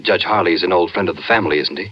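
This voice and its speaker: An actor playing a smooth, patronizing doctor with quiet menace in a 1950s radio drama. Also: male voice, low voice, very fast, slight background noise, natural voice. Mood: watchful. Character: smooth, controlling male phony doctor from a rest home. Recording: muffled 1950s radio recording.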